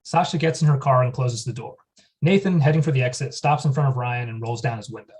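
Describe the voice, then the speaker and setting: Brief: male reader for stage directions, mid-pitched, matter-of-fact. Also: quick pace, darkish tone. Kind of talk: flat reading of scene directions.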